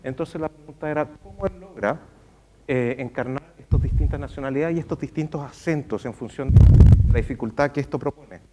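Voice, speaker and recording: A man hosting a question session, asking halting questions in English and translating fluently. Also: medium pitch, noisy background. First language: Spanish. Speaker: moderator and interpreter. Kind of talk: hosting a bilingual Q&A. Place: university auditorium.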